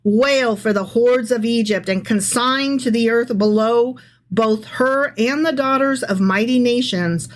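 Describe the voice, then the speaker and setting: A woman's voice: a little high, unhurried, poor audio. A woman co-hosting a podcast, reading scripture aloud and chatting casually, irreverent and sweary. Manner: irreverent, profane, self-interrupting. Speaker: podcast co-host.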